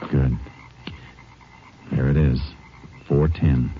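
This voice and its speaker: An actor playing a calm, clipped Texas Ranger in a 1950s radio drama. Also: male voice, extremely low pitch, drawn-out words, slight background noise, heavily acted. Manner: calm, clipped, matter-of-fact. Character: steady, terse male Texas Ranger. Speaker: Texas Ranger, lead and narrator. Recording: muffled old radio broadcast with music.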